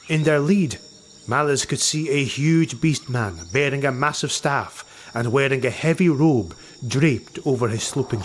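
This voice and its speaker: A man reading a fantasy audiobook as narrator in a measured, dramatic style. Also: mid-range voice, a little hiss or hum.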